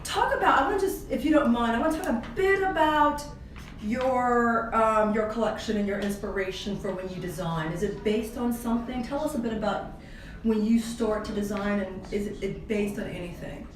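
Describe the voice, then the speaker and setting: A woman's voice: fairly high pitch, normal speed. A woman hosting an interview who gushes, repeats her praise and stacks several questions in a row. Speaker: interview host. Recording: echoey room, distant mic, faint noise.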